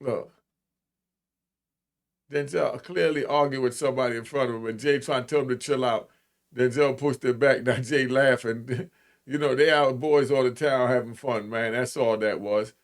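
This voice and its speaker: Gravelly middle-aged American man commenting. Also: medium pitch, steady tone, moderate pace. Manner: informal, slangy, repeats himself. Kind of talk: casual reaction commentary.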